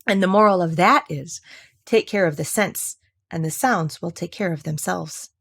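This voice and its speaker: A woman's voice: on the low side, moderate pace, creaky, a bit bright. A woman voicing an ugly, pushy, overly agreeable older Duchess in a storybook, with a pompous, emphatic, singsong way of moralizing. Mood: gushing, moralizing.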